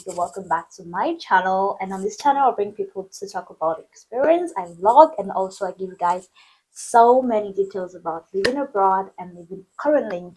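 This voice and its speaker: Woman vlogger, host, husky voice, upbeat and chatty. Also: medium pitch, darkish tone, rough recording. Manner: chatty, upbeat, addresses viewers directly. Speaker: vlog host. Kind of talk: casual interview chat. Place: indoors in China.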